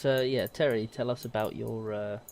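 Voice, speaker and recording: A man's voice: medium pitch, steady tone, moderate pace, a crowd in the background. A man hosting a casual podcast panel chat, informally steering the talk and putting questions to the others. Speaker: podcast host.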